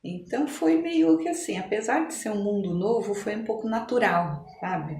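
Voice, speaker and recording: A woman's voice: medium pitch, lively intonation, normal speed, vocal fry, a little mellow. An older woman with a São Paulo Brazilian accent, telling her life story conversationally as an interview guest. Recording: roomy echo, noticeable background ambience.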